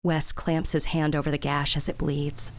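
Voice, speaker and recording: A woman's voice: low-pitched, little pitch movement, normal speed. A woman narrator reading scripted, present-tense narration for an audio drama. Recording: background sound-effect noise.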